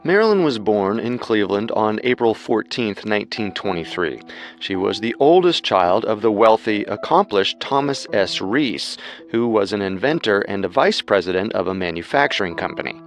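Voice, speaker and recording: A man's voice: fairly low pitch, lively intonation, moderate pace. A man hosting a podcast with scripted true-crime narration. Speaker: podcast co-host.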